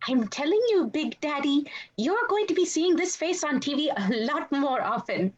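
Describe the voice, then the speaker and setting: A woman playing an excitable, vain, wisecracking male monster sidekick with a whiny, boastful delivery in a scripted read. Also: sits high, moderate pace, muffled recording. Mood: smug. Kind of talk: podcast script table read.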